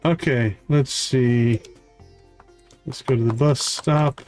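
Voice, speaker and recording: A man hosting a livestream, giving casual gameplay commentary and thinking aloud in an unhurried way. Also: mid-range voice, fairly even intonation, a little mellow, soft background music. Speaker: livestream host.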